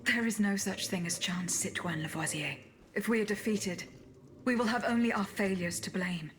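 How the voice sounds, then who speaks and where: A woman's voice: medium pitch, natural voice. Composed female automaton bodyguard played by an actress, faint noise. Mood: composed, resolute. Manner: measured, formal. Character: Aegis, calm, dutiful female automaton bodyguard. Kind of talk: video game cutscene acting. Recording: background noise.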